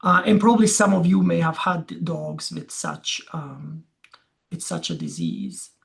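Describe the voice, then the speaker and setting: A middle-aged man with a Croatian accent gives an informal academic presentation in a halting, conversational way. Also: fairly high pitch, sing-song, vocal fry. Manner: halting, conversational, often checks with "you know" and "yeah". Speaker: veterinary dermatology researcher giving a talk.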